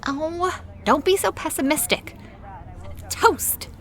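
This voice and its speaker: A woman's voice: sits high, takes it slowly, a bit bright, heavily acted. A woman playing an upbeat, supportive best friend in an audio drama, with a reassuring manner.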